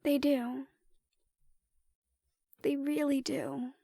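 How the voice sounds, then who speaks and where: Woman acting high-voiced, gracious young lady. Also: little pitch movement, very slow, creaky, mellow, no echo, studio quality, full character voice. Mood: soft, tender. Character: gracious, loyal young woman welcoming a captain. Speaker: voice actor. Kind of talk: romantic fantasy audio roleplay acting.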